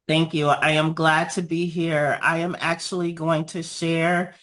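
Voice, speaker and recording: Raspy-voiced woman giving an earnest talk. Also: low-pitched, little pitch movement, a bit bright. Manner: measured, earnest, frequent ums and pauses. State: raspy voice after illness. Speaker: guest speaker, nonprofit founder. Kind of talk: presentation over video call with slides.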